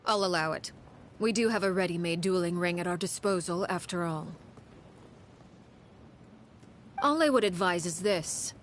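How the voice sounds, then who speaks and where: A woman playing a stern, composed head of an orphanage house in a video game, speaking formally and with measured authority. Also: lowish voice, slight background noise, lightly acted. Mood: grave, measured. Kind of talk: dramatic video game acting.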